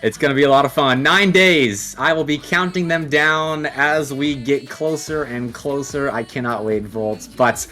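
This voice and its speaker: Bright-voiced male esports commentator. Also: mid-range voice, unhurried, hardly any pauses, soft background music. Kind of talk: live Rocket League play-by-play and banter.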